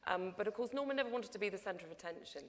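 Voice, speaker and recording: A woman's voice: mid-range voice, lively intonation, racing. A middle-aged woman telling a story on a panel, chatty and full of restarts. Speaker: panel guest, documentary producer. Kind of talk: panel discussion anecdote. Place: lecture hall before an audience. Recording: long hall reverb.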